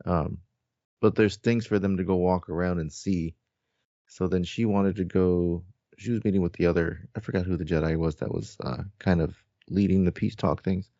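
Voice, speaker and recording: A man's voice: deep voice, fairly even intonation, moderate pace, mellow, no echo, muffled recording. A man co-hosting a casual chat, speaking hesitantly as he recalls details. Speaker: podcast co-host.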